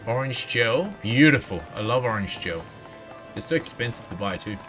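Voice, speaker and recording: A man's voice: mid-range voice, expressive, moderate pace, pushed and bright. A man doing casual, playful live video game commentary, talking to himself and his viewers. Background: video game music.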